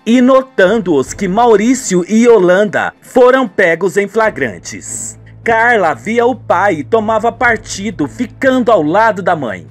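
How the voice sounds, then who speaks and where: High-pitched man, theatrical soap narrator, very lively intonation, colloquial, music underneath. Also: unhurried. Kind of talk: dramatic scripted radio soap narration.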